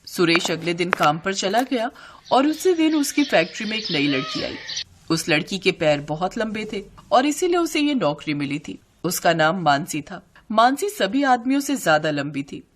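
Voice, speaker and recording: Animated female story narrator. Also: mid-range voice, normal speed, slight background noise.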